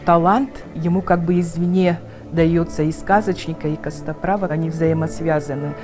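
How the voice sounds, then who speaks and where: A woman with a fairly low, mellow voice explains as an interviewed expert. There is faint music behind her. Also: fairly even intonation, moderate pace. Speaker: interviewed expert on folk tales.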